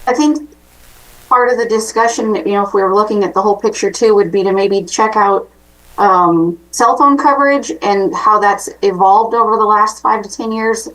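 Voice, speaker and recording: A woman's voice: mid-range voice, normal speed, fairly bright tone, distant mic, low-quality recording. A woman staff member giving informal, tentative remarks at a public county meeting, in a conversational way.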